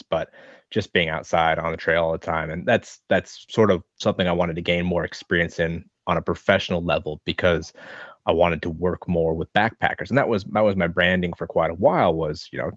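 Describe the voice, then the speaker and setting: A man in his thirties with an American accent, answering interview questions in a relaxed, thoughtful, rambling way. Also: sits low, dry recording. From American Midwest. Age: 30s. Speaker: podcast guest, online fitness coach. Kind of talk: casual interview chat. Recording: dull lo-fi sound.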